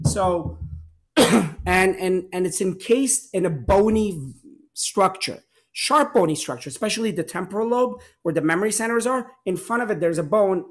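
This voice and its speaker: A man's voice: high voice, animated, moderate pace. A man, a physician guest in a podcast interview, explaining earnestly and repeating words for emphasis. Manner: earnest, explanatory, with restarts and repetition.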